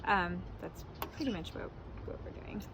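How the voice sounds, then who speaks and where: A woman's voice: on the low side, expressive, moderate pace, background noise. A woman in her forties who was born in Mexico, answering interview questions as a wedding planner in a candid, casual way, laughing often and swearing now and then.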